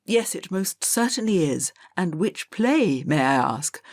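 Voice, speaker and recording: A woman's voice: on the low side, sing-song, unhurried, darkish tone, dry recording, clean studio sound, exaggerated voice. A woman reading an audiobook, voicing a stern, impatient elderly male schoolmaster who barks orders at his class. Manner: barking, scolding commands.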